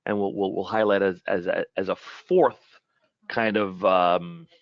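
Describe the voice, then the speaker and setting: A man giving an informal webinar product presentation in a halting way, often repeating and rephrasing his words. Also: sits low, steady tone, creaky, no echo, muffled recording.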